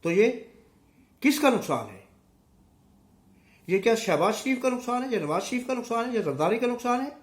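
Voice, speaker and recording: A man's voice: very high-pitched, very animated, normal speed, long pauses. A middle-aged Pakistani man with a sore throat, giving emphatic, unscripted political commentary straight to his viewers with repetition for effect. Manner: emphatic, rhetorical questions and repetition.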